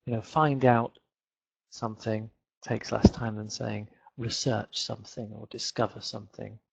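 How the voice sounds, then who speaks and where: Slow gravelly man from Leeds. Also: on the low side, flat delivery, no room sound. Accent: Northern English, Leeds. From England. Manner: chatty, repeats words, many fillers. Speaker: English teacher. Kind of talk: online webinar lesson. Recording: dull lo-fi webinar audio.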